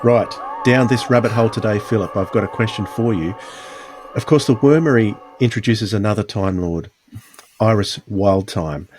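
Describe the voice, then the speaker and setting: Low, slightly husky male podcast host. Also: normal speed, a little mellow, soft background music. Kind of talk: casual podcast presenting.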